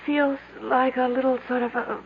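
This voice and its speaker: A desperate young woman trapped in a cave, in a radio drama. Her voice is high and fretful. The old recording is muffled, with faint noise under her. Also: steady tone, normal speed. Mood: agitated.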